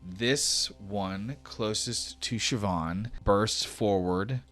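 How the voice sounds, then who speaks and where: Low-voiced man running a tabletop game. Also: very slow, a bit bright. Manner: conversational, explanatory. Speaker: game master of a tabletop role-playing game. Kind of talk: unscripted tabletop game narration and rules talk. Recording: music playing behind the voice.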